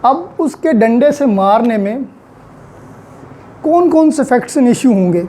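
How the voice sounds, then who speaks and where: A woman's voice: fairly high pitch, expressive, slow pace, dark tone, a little hiss or hum. A woman with a Pakistani accent giving an explanatory lecture, going step by step in a didactic way and mixing in English technical terms. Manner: code-switches between Urdu and English legal terms. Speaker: law lecturer.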